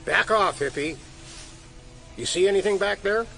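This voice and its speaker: A grouchy conspiracy geek. His voice is sharp and creaky, gruff and snappy, in an audio drama. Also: sits high, moderate pace, soft background music, exaggerated voice.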